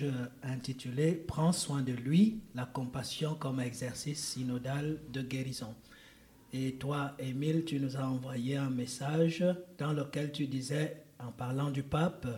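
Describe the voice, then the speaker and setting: A man, a priest, hosting a radio interview, speaking gently with frequent hesitations. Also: mid-range voice. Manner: gentle, warm hesitant interviewer with many fillers.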